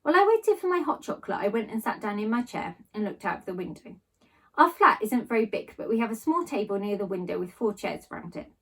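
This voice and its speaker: A woman reading a children's story aloud in an expressive, animated storytelling style. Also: medium pitch, fast talker, recorded from a distance. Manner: animated read-aloud delivery. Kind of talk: expressive storybook narration in first person.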